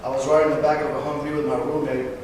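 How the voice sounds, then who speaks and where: A man in his twenties from Northern California, a veteran giving a personal talk, halting at times with fillers and self-corrections. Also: mid-range voice, little pitch movement, darkish tone. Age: 20s. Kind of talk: personal speech read to an audience. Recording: distant, echoey hall recording with background noise.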